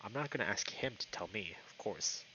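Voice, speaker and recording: A man voicing an earnest, gentle young male buck in a visual novel, speaking softly and hesitantly with concern. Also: fairly low pitch, lively intonation, a little fast, muffled recording, slight background noise. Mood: careful, gentle. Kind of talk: visual novel character read aloud by a streamer. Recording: music behind the voice.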